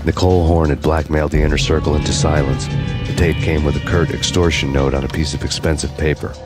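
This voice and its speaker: An actor playing a hard-boiled, world-weary vigilante who narrates his story in a deadpan, sardonic noir style. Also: a bass voice, fast talker. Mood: brooding, wry. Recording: music behind the voice.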